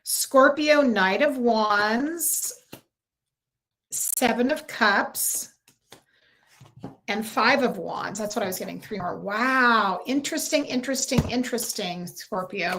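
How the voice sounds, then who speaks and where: A woman with a slightly high voice chats through a spiritual livestream from home. She pulls cards, answers viewers by name and drifts between thoughts with lots of ums. It is picked up by a simple computer mic. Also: slow pace, pushed and bright, slight background noise. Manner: chatty, reads out viewer comments, many fillers and restarts. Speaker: livestream tarot reader. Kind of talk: casual livestream chat.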